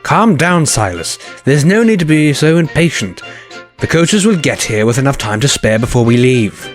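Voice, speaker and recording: A man voicing a patient senior steam engine in an audio story, measured, reasonable and firm. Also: medium pitch, big pitch swings, soft background music, lightly acted.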